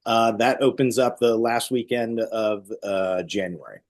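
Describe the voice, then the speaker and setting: A man's voice: on the low side, measured pace. A man talking casually as an interview guest, explaining things in a conversational way and illustrating points with imagined remarks. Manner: explanatory, illustrating with acted-out quotes. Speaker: stage actor and guest.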